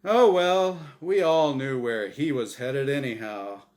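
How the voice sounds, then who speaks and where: A man's voice: mid-range voice, takes it slowly, darkish tone, lightly acted. A man voicing a smug, showy angel who hosts a game show, with theatrical, emphatic patter in a storybook reading. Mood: smug, jovial. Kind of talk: storybook character voice in dramatic reading.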